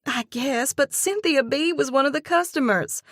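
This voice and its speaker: A woman voicing an excitable, outraged young woman friend who talks in an indignant rush. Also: no room sound, studio quality. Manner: rushed, indignant, high-pitched.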